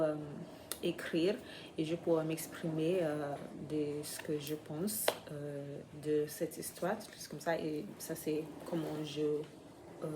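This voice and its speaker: A woman speaking French as a second language, talking unscripted in a vlog, pausing often and searching for words. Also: sits low, background noise. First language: not French. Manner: hesitant, many pauses and fillers, searching for words. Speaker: French learner vlogger.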